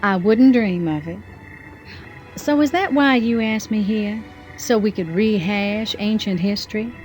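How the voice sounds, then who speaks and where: A woman's voice: mid-range voice, measured pace, darkish tone, soft background music, lightly acted, rough recording. A woman acting a poised, teasing, romantic mature character in a soap-opera audio drama. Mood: teasing, curious.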